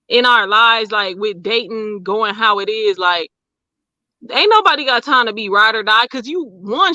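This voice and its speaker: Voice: medium pitch, pushed and bright, no echo. A podcast host in casual chat who rambles loosely and leans on fillers as they lead into the next topic.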